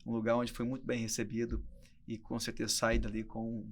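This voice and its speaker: Man in his forties, Brazilian accent, mid-range pitch, podcast guest, casual conversational delivery. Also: monotone, brisk, vocal fry. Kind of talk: casual conversational interview talk.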